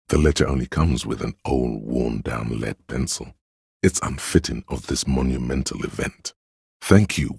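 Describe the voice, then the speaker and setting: Snobbish inner voice of taste, extremely low, very husky, pompous, disdainful, theatrical game narration. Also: male voice, no room sound, clean studio sound, exaggerated voice. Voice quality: very deep, gravelly, husky. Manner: pompous, deliberate, disdainful, florid. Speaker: video game voice actor.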